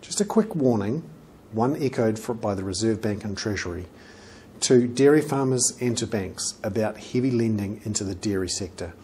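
Mellow middle-aged New Zealand man presenting. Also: on the low side, expressive, moderate pace, slight background noise. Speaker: financial journalist presenting an economic report. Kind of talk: presented news commentary to camera. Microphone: lapel mic.

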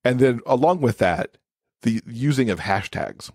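A man's voice: mid-range voice, animated, a little slow, a little mellow, husky, no room sound, studio quality. A man speaking as an expert podcast guest, explaining things in a casual, conversational way.

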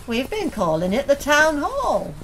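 An actress playing an impatient, blunt, nagging wife on a day out in a radio play. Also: female voice, sits high, huge pitch swings, unhurried, fairly bright tone, a little hiss or hum, exaggerated voice, low-quality recording. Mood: doubtful. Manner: blunt, complaining.

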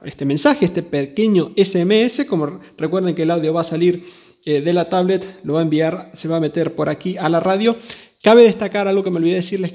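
A man hosts an informal hands-on tech demo. His voice sits a bit high for a man, with a marked creak running through it. He speaks casually and directly to his viewers. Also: a little mellow. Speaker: video host demonstrating radio gear.

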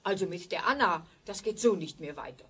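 A woman's voice: on the low side, lively intonation, a little fast, a bit bright, slightly rough. An actress playing a scornful, indignant, gossiping well-off woman in a German children's radio play. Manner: indignant, disparaging.

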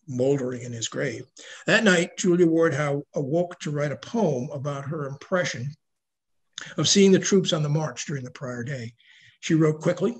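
An older man giving a prepared slide lecture on history over a video call, reading in a measured way. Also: a little high, slight background noise, poor audio. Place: indoor room at home, video call. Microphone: video call microphone.

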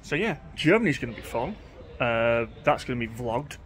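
A man, vlogging excitedly from a railway platform. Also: medium pitch, moderate pace. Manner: chatty, excitable, talks to camera while watching trains. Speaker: train-spotting vlogger. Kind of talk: casual vlog commentary. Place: outdoors on a railway station platform. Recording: outdoor station noise behind the voice. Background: passing trains and station ambience.

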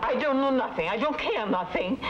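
An elderly immigrant mother, plaintive and worn down, with an Eastern European accent. Her English is halting and bitter, in old television drama style. The sound is dull, under heavy noise. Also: high-pitched, expressive. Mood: weary, resentful. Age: older, 60s. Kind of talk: 1950s TV drama acting. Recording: muffled, very noisy 1950s TV soundtrack.

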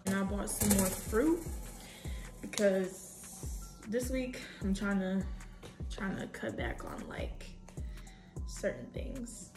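A young woman in her twenties chatting casually to camera in a home kitchen, slangy and animated as she cooks. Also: mid-range voice, slow pace, pauses often, reverberant, over music. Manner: chatty, slangy, animated, talks while cooking. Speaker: vlogger. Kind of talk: casual vlog chat to camera. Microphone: camera on a tripod at a distance.

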